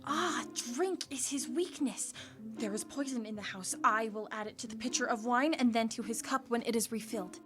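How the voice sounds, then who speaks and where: A woman's voice: a little high, normal speed, music in the background, lightly acted. An actress playing a shrewd, loyal female slave in a radio drama, speaking formally and watchfully. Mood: urgent. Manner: formal, deferential.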